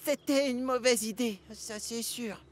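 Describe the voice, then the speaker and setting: A man's voice: very high-pitched, unhurried. A man voicing an eager, cheeky young train engine in a children's cartoon, earnest and childlike. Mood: sheepish. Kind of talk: dubbed children's cartoon acting. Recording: music behind the voice.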